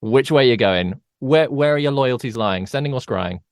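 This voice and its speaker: A man co-hosts a podcast as its game master, talking in a casual, conversational way. His voice is medium in pitch, and the recording is dry with no room sound. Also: expressive, moderate pace, clear, resonant tone, dry recording.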